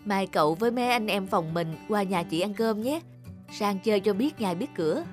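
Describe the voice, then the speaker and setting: A woman's voice: mid-range voice, lively intonation, moderate pace, faint music behind, close to an everyday voice. Woman acting confident, sociable office boss. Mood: friendly, brisk. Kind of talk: cartoon drama voice acting.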